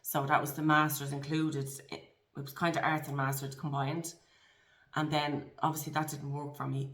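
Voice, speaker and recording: A woman in her forties with an Irish accent speaks in a very low register, earnest and encouraging, often restarting her phrases. The room around her is echoey. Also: a bit bright. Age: 40s. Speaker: guest. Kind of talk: conversational interview.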